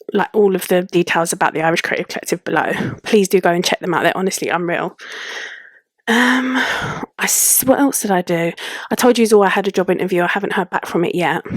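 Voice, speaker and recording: A woman's voice: on the low side, dry recording. A young woman with a London accent hosting a podcast, chatting casually in an informal, slangy way. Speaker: podcast host. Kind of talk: casual solo podcast chat.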